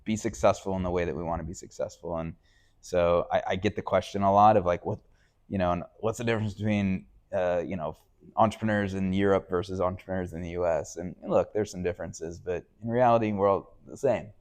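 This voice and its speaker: A man's voice: low voice, fairly even intonation, fairly quick, darkish tone. A man interviewing a guest as show host, talking in a chatty, conversational way and repeating himself for emphasis.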